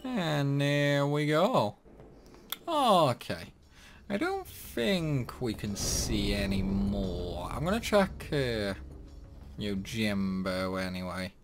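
A man's voice: mid-range voice, takes it slowly, faint music behind. Chatty man commentating gameplay. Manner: chatty, rambling, animated. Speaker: gaming YouTuber.

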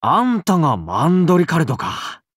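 A breezy young paladin king from a video game. He banters casually and warmly with a very lively pitch, recorded dry and close. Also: normal speed, husky, no echo, heavily acted. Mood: breezy, friendly. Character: breezy, friendly young male paladin king, cool and teasing. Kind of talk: scripted game character dialogue.

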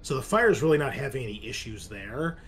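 A man narrating a tabletop roleplaying game as game master, explaining things in a halting way. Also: medium pitch, big pitch swings, fairly bright tone, slight background noise.

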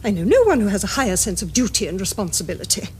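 An actress playing a prim, stern middle-aged English governess in a period comedy, with precise, pompous diction. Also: female voice, medium pitch, sing-song, racing, a little mellow, a little hiss or hum, lightly acted. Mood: stern, reproving. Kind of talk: period comedy screen acting.

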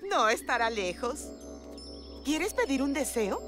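A doting, dramatic cartoon mom, high and very lively in pitch. She draws her words out theatrically in a Latin American accent, with music playing behind her. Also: unhurried. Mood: coaxing. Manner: theatrical, exclaiming. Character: fussy, dramatic, doting middle-aged mother. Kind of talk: animated children's cartoon dub.

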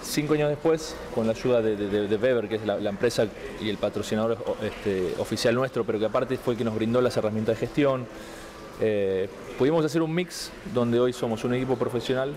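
A man's voice: medium pitch, a little fast, darkish tone, a little hiss or hum. A man in his thirties with an Argentine accent, answering interview questions thoughtfully, with many restarts. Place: indoor room, seated on a couch. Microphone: handheld mic held out by interviewer.

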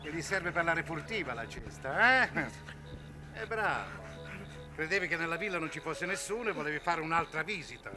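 Actor as stern, high-voiced armed villa guard. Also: male voice, animated, normal speed, over music, natural voice. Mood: stern, threatening. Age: middle-aged. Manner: commanding, barking orders. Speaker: actor in a TV serial. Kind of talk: 1960s Italian television comedy-drama acting. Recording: vintage 1960s television soundtrack.

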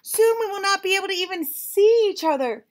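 A woman voicing an impatient, melodramatic young male elephant in a storybook, whining and wailing with drawn-out words. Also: extremely high pitch, lively intonation, slight background noise, pitched above the performer's own voice. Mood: despairing. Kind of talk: storybook read-aloud character.

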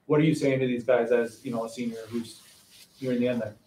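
Fast, flat-voiced reporter in an echoey room. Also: male voice, mid-range voice, slight background noise. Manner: halting, many fillers while asking. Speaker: sports reporter. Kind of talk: press conference questions.

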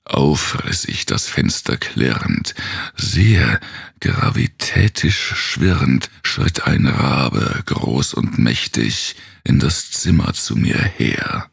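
Male narrator for gothic horror poetry: extremely low, husky voice, brooding and theatrical, slow delivery. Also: expressive, no echo, studio quality. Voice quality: very gravelly, husky. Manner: brooding, theatrical, dropping into whispers.

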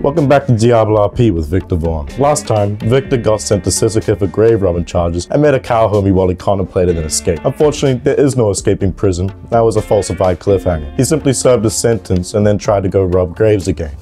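Low, mellow man narrating. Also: lively intonation, fast talker, hardly any pauses, faint music behind. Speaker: narrator and video creator. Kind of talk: scripted story narration of game roleplay.

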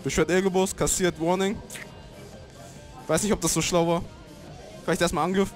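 A man's voice: high-pitched, flat delivery, normal speed, soft background music. A young man, commentating a card game tournament in a laid-back, slangy way.

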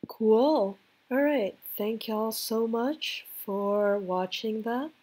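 A woman's voice: on the high side, very slow, a little mellow, breathy edge. A woman hosting, casually announcing credits. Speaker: host.

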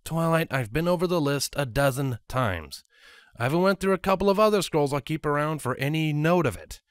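A woman's voice: low voice, expressive, a little fast, no room sound, studio quality, voice pushed up. A woman voicing a dutiful young male dragon assistant in a storybook reading, matter-of-fact, insistent and exasperated.

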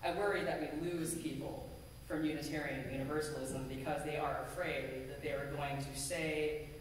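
A woman minister giving a measured, earnest sermon from a pulpit in a church sanctuary, with a pulpit microphone and a distant camera. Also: deep voice, fairly even intonation, slight background noise. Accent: American. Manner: measured, deliberate, earnest preaching with emphatic lists. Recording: echoey sanctuary from far off.

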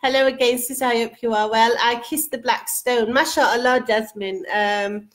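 A woman's voice: on the high side, steady tone, normal speed. Chatty woman hosting a webcam stream. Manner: chatty, warm-toned aside to her daughter, many restarts. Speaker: host. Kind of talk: casual live-stream chat reading comments. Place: living room sofa at home. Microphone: webcam at close range.

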